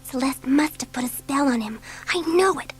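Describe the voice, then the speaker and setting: A woman plays a restless, outspoken schoolgirl in an audiobook story. The voice is youthful and extremely high, swinging expressively, with faint music behind. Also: animated, normal speed. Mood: worried. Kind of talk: dramatised children's audiobook acting.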